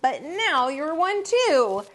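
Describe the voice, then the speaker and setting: A woman's voice: high voice, sing-song, takes it slowly, fairly bright tone, voice pushed up, exaggerated voice. A woman voicing a forgetful, friendly young country boy from a storybook, with an earnest sing-song delivery. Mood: earnest chanting.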